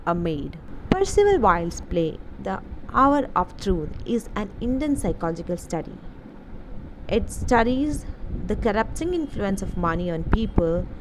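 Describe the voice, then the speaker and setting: Woman teacher reading out a lesson script, slow n deliberate, pretty noisy background. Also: medium pitch. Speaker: English literature teacher. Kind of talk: scripted educational narration.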